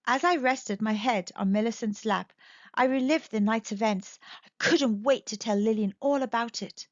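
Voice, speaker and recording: A woman's voice: on the high side, moderate pace. A woman narrating a children's story expressively from a script as a storyteller. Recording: slightly dull, echoey home recording.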